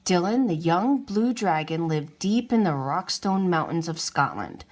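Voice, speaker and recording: Female storybook reader, lowish pitch, very unhurried, narrating for young children. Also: very animated, a little mellow. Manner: unhurried, addresses young listeners. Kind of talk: read-aloud storybook narration.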